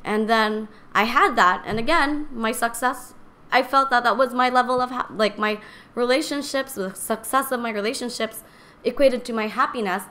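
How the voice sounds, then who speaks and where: A woman in her twenties hosting a podcast, talking casually and candidly, her strained voice raspy and a little shaky. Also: a little high, moderate pace, pushed and bright. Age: 20s. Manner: candid, rambling, chatty. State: strained voice from singing and talking too much. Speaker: podcast host. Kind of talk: casual personal talk to live stream viewers.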